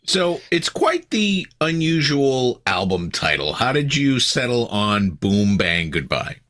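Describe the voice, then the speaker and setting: Bright, lively male podcast host. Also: medium pitch, slow. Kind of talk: interview questions.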